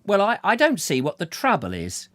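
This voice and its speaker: A man's voice: sits high, wildly swooping pitch, moderate pace, fairly bright tone, dry recording, pitched above the performer's own voice, heavily acted. A man voicing a nervous, apologetic middle-aged man who stammers and repeats himself.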